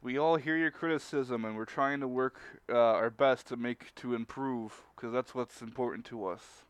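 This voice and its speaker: Male co-host, casual livestream chat. Smooth voice, dry close recording. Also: mid-range voice, moderate pace, no echo. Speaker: stream co-host.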